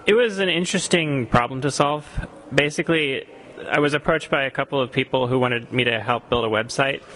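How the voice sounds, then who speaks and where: Casual, hesitant young man from Costa Rica being interviewed, bright tone, light background noise. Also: medium pitch. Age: young adult. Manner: thoughtful, many ums and restarts. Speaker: exchange student guest. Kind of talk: radio interview answers.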